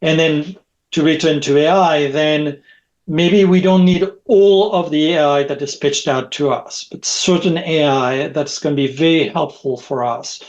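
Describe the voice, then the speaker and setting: A man's voice: a little high, a little slow, dull audio. A middle-aged man whose first language is French, speaking as a guest in a podcast interview over a video call, rambling and repeating words, often adding a questioning "right?". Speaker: guest, technology expert.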